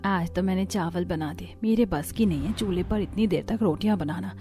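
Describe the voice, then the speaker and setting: A woman's voice: on the low side, brisk, warm tone, close to an everyday voice. A woman playing a weary, complaining village housewife in cartoon dialogue. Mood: exasperated.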